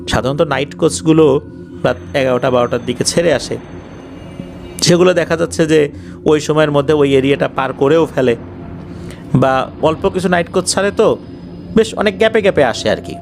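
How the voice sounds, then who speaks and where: A man's voice: fairly high pitch, lively intonation, moderate pace, creaky, pauses often. A man with a Bangladeshi accent narrates paranormal incidents in a conversational, suspenseful way, explaining and repeating details as he goes. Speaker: horror story narrator. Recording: faint music behind the voice. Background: faint background music.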